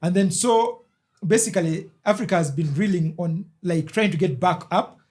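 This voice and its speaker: A man rambling through a casual podcast chat, halting and restarting as he explains. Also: sits high, a little mellow.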